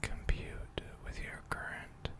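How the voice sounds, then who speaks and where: Gentle robot mechanic, played by an ASMR performer, whispered, very slow, formal and soothing. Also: lightly acted. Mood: calm, methodical. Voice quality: soft breathy whisper. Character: gentle, attentive robot mechanic tending a salvager robot.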